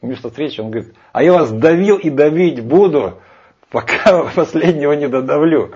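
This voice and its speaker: A man's voice: a little high, lively intonation, moderate pace, a little mellow, husky. A man arguing emphatically in a heated discussion, rambling with hesitations. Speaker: speaker lecturing on Vedic scripture. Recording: dull lo-fi sound.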